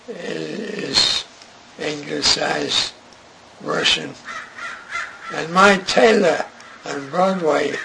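An older man recalling his radio career in an interview, speaking haltingly and restarting often. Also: a little high, vocal fry. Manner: slow, halting, with many restarts. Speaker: interviewee, radio writer and director. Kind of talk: reminiscing interview. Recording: noisy recording.

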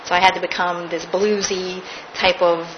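A woman's voice: on the low side, little pitch movement, moderate pace, muffled recording, background noise. A woman, a stage actress, recounting her theater career in a casual interview, laughing now and then.

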